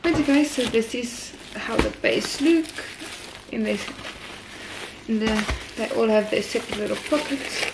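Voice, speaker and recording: A woman's voice: fairly high pitch, a little slow, background noise. Chatty young woman vlogging at home. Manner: rambling, talks straight to the camera while cooking. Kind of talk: casual chatty vlog. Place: home kitchen and bathroom, indoors. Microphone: handheld phone camera at arm's length.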